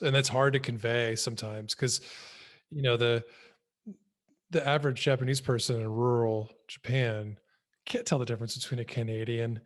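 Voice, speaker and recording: Podcast host guy, mid pitch, slow thoughtful rambly questions. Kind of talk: interview questions.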